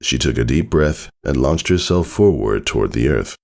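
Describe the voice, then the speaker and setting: A man's voice: rumbling low, animated, moderate pace, a little mellow. A man reading a story aloud as a scripted narration. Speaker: fan fiction reader.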